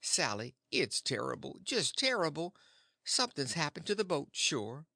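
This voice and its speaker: A man's voice: a little high, huge pitch swings, moderate pace, gravelly, pushed and bright, no room sound, studio quality, voice pushed up, exaggerated voice. A man doing an anxious old farmer. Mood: worried. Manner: earnest, anxious, plain-spoken. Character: worried mild-mannered old farmer husband.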